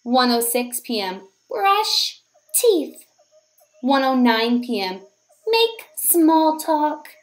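A woman reading a children's picture book aloud as a teacher, in an expressive, deliberate storytelling manner for young children. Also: high voice.

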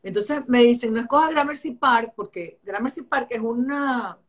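A middle-aged woman from Venezuela with a Caracas accent, telling stories in an animated, chatty way with many restarts, recorded over a video call from home. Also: on the high side, normal speed. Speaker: architect interviewee. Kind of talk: casual interview. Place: home room.

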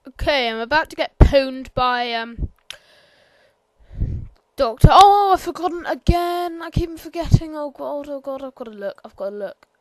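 A woman giving a casual, rambling game tour voiceover, hesitant and full of ums and apologies, sometimes bursting out loud. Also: sits high, lively intonation, normal speed, dry recording. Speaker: gameplay commentator.

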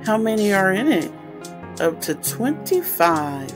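Slow-paced woman reading to children. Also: medium pitch, big pitch swings, over music. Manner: deliberate, simple explaining to young children. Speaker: storytime host reading aloud. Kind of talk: children's picture book read-aloud.

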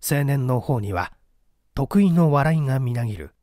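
A man reading a mystery story aloud as dramatic literary narration, with deliberate, theatrical phrasing. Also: mid-range voice, animated, warm tone, no echo, clean studio sound. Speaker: story reader.

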